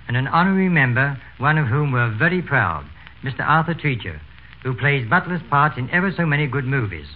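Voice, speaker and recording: A man from England with an English accent, giving a formal, courteous scripted radio talk as a butler. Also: fairly high pitch. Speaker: butler, guest speaker. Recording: tinny phone-like 1930s radio sound.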